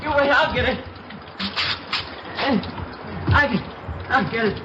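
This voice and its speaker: An actor playing a desperate, evasive murder suspect in a 1950s radio crime drama, insistent and repetitive. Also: male voice, very high-pitched, takes it slowly. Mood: frantic, obsessive. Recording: muffled old radio recording, loud rain-like noise.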